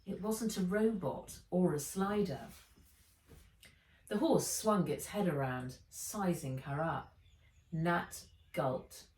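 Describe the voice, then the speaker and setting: A woman author reading a children's storybook aloud in a measured, expressive way. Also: on the low side. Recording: echoey room, distant from mic.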